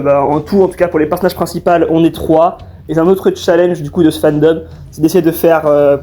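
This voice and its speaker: Hesitant young man, bright voice. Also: fairly high pitch. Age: young adult. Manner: hesitant, halting delivery. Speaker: fan dub creator. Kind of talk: informal question-and-answer explanation. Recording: faint music behind.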